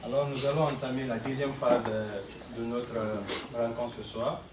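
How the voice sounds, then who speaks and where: A man's voice: medium pitch, level tone. A man from Guinea, a student and former magistrate, leading a formal round of introductions at a meeting in a room, recorded from a distance. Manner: measured, polite, leads the turn-taking. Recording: echoey room, distant mic, noisy.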